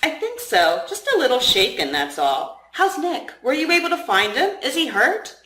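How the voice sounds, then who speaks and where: A woman's voice: high-pitched, very animated, moderate pace, pushed and bright, voice pushed up, heavily acted. A woman voicing an anxious, earnest young heroine in a story reading. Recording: roomy, echoing room sound.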